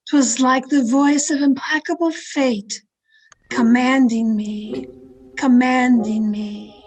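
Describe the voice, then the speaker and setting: Woman acting a restless heroine, high voice, slow melodramatic narration, radio mystery, music behind. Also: steady tone, darkish tone, slightly rough, full character voice, low-quality recording. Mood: haunted, intense. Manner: melodramatic, deliberate. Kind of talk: old-time radio drama acting.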